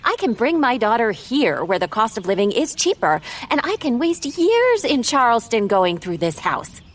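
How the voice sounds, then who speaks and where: An actress playing a tense, stubborn grown sister in an audio drama, guarded and defiant in manner. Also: female voice, a little high, big pitch swings, moderate pace. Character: tense, stubborn adult sister, grieving young mother.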